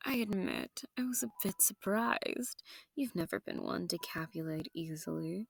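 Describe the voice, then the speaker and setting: A woman's voice: mid-range voice, creaky, no room sound. A woman playing a seductive, sadistic vampire noble in an intimate audio roleplay, genteel and teasing with menace, often sinking into whispers. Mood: silky, commanding. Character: seductive, sadistic, possessive female vampire noble hosting her foe.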